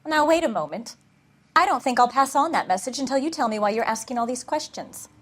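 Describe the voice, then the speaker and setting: High-voiced, guarded young woman. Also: lively intonation, a little fast, a bit bright. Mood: suspicious. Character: refined, guarded young fiancée questioned by police. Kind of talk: video game acting, 1940s detective drama.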